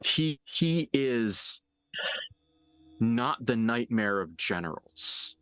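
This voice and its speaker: A man narrating a tabletop horror game as game master, dramatically and deliberately, with pauses for emphasis. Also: mid-range voice, lively intonation, fairly bright tone, clean tone, dry recording.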